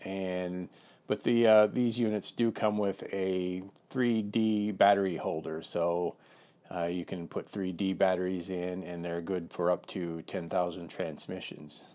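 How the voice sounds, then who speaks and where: A man's voice: low-pitched, fairly even intonation, unhurried, warm tone. A man giving a casual, plain-spoken product demonstration of an intercom, with lots of fillers and restarts.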